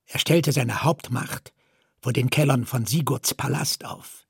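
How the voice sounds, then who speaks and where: A man's voice: mid-range voice, a little slow, gravelly, breathy edge, dry recording, clean studio sound. A man reading literary narration in the manner of a radio story.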